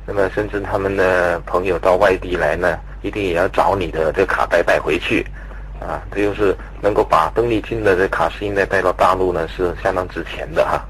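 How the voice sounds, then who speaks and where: A man's voice: deep voice, fairly even intonation, brisk, fairly bright tone, slight background noise, poor audio. A man conducting a conversational radio-style interview, putting long, polite questions.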